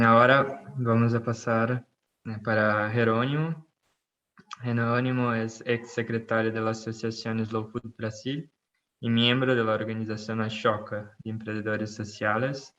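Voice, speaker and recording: A man moderating an online panel, thanking speakers and introducing the next one in a calm, measured way. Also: fairly low pitch. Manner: calm, measured, with filler sounds. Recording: slightly dull online audio.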